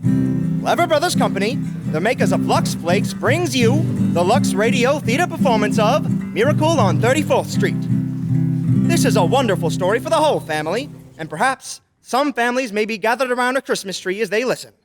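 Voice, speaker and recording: A man announces in old-time radio theater style. His voice sits very high and moves with lively swings. Prominent plucked-string music plays behind him. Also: normal speed. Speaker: radio play host and narrator. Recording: music under the voice.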